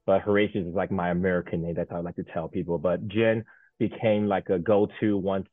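A man in his thirties from Taiwan, a native Mandarin speaker, talking as an interview guest in a lowish voice. He rambles and fills his sentences with fillers and restarts. The sound is dry and tinny, like a phone line. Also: steady tone, fairly quick, no echo. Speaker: guest, Paralympic sled hockey goaltender. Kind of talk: casual interview chat.

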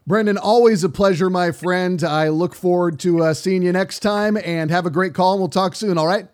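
A man's voice: sits high, moderate pace. A man hosting a sports radio show, giving conversational commentary in emphatic, repeating rhetorical runs.

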